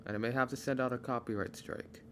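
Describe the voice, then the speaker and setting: A man's voice: mid-range voice, steady tone, fairly bright tone, a little hiss or hum. Guy doing a casual update video, rambles and loses his thread, popping mic. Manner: rambling, halting, often losing his train of thought. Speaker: video creator giving channel announcements. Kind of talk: casual unscripted announcement. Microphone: microphone without pop filter. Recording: microphone pops, no pop filter.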